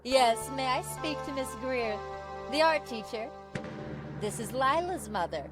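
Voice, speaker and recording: An actress as a pushy, indignant mother in an audio drama. The voice is high and unhurried, yet insistent and confrontational. Music is very loud behind it. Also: expressive. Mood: politely firm.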